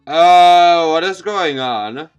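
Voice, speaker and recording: A man's voice: a little high, lively intonation, very slow, smooth. A man reading a visual novel aloud as a let's player, stumbling over words and adding casual asides over background music. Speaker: video game let's player.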